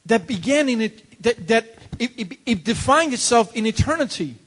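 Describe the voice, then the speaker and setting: A man from Cuba preaching in English through an interpreter, emphatic and building to loud peaks. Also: extremely high pitch, expressive, unhurried. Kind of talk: bilingual sermon with interpreter.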